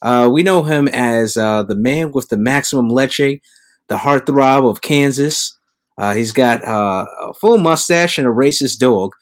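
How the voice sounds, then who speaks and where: A man's voice: mid-range voice, unhurried. Joking man hosting a podcast. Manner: joking, teasing, laughs often. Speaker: podcast host. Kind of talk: casual podcast chat.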